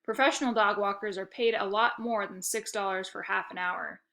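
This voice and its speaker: Bright-voiced woman reading a children's story. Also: mid-range voice, normal speed, gravelly. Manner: animated, acts out dialogue. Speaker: read-aloud storyteller. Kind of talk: expressive children's book read-aloud narration.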